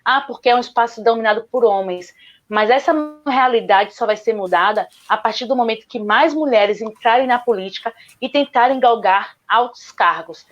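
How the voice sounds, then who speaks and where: A woman's voice: fairly high pitch, moderate pace, lo-fi sound. A woman from Salvador with a Bahian accent, answering questions on an online panel in an earnest, argumentative way. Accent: Bahian Portuguese. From Salvador, Bahia, Brazil. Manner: earnest, argumentative, repeats "justamente". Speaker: guest speaker, lawyer.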